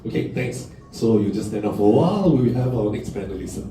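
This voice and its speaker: A man's voice: lowish voice, very animated, fast talker, warm tone, a little hiss or hum. Playful man hosting a panel. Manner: playful, teasing, switches between English and Mandarin. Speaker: panel moderator. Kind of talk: lively event hosting, joking banter. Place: conference hall on stage. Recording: echoey hall from far off with music.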